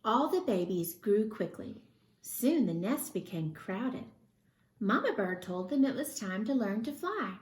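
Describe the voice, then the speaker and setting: Female storybook reader: unhurried, expressive read-aloud delivery for young children, recorded with audible room echo. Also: medium pitch. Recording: roomy, echoey sound.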